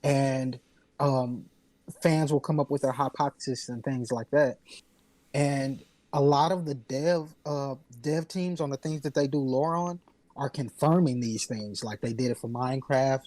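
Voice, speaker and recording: A man's voice: mid-range voice, unhurried, a little mellow, dry recording. Earnest man explaining in a dry-sounding space. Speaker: podcast panelist. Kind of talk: casual panel discussion, explaining game lore.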